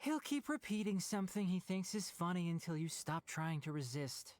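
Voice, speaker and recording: Young game ranger, articulate, dryly exasperated yet patient, with animated intonation. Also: male voice, high voice, normal speed, dry recording. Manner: articulate, dry, explanatory. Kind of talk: video game voice acting.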